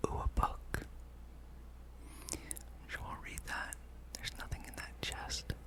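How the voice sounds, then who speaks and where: A person whispering an ASMR gameplay ramble as host, thinking aloud. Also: slow. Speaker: gaming channel host.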